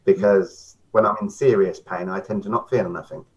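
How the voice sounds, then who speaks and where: A man's voice: low-pitched, fairly even intonation, moderate pace. A man talking as a guest in casual chat, often repeating words as he starts. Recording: dull lo-fi sound.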